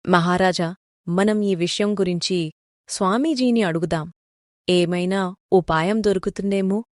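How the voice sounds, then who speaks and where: A woman's voice: fairly low pitch, measured pace, dry recording, studio quality, natural voice. A woman voicing a concerned queen and protective mother in a cartoon moral story.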